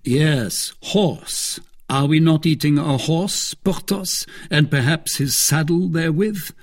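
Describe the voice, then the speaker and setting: Guy doing grave gentleman soldier from a storybook, dry wit, measured, bit husky. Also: on the high side, pitched above the performer's own voice, full character voice.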